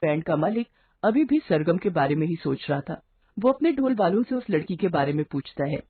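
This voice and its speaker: A woman's voice: on the low side, lively intonation, brisk, phone-quality sound, a little hiss or hum. A woman reading a scripted moral bedtime story as its narrator.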